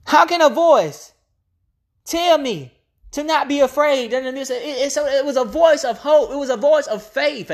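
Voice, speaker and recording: A woman's voice: high-pitched, measured pace. A woman preacher delivering an impassioned, off-the-cuff sermon, repeating phrases emphatically to build up her point.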